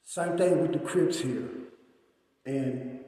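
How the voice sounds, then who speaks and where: Man, rambling casual talk, slow and mellow, long echoey reverb. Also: a little high. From Austin, Texas. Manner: rambling, repeats himself. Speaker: YouTuber.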